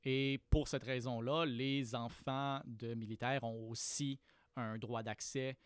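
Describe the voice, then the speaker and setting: A man from Quebec City with a Quebec French accent, a podcast guest explaining things in a thoughtful, measured way with frequent restarts. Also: mid-range voice, steady tone, smooth, dry recording, clean studio sound. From Quebec City, Canada. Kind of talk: explanatory conversational interview.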